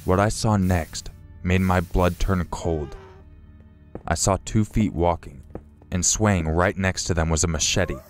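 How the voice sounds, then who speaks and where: Deep voiced guy reading a creepy story, slow and somber, quiet music under it. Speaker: narrator. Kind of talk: scripted horror story narration. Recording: faint music behind.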